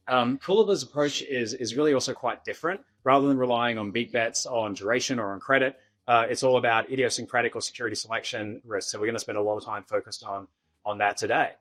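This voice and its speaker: A man's voice: medium pitch, fast, a bit bright. A man from Sydney with an Australian accent, hosting a podcast interview in an informal, somewhat hesitant conversational way. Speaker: podcast host.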